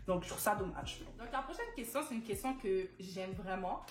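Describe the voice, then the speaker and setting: A woman's voice: mid-range voice, moderate pace. Earnest young woman hosting. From Quebec. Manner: earnest, asks questions, recounts personal experience. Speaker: talk show host. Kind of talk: moderating a casual discussion. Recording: echoey room, soft music.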